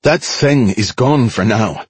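A man's voice: medium pitch, takes it slowly, husky, no echo. A man voicing an earnest soldier in a dramatised audiobook, speaking with urgent, firm, emphatic appeals. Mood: pleading, firm. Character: earnest male soldier, urgent, pleading, firm with frightened crowds.

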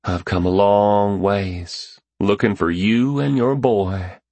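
A man's voice: on the low side, steady tone, slow, a little mellow, no room sound. A man voicing a smallish, humble, friendly Southern man in an audiobook, with a tentative, earnest drawl. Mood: hopeful, earnest.

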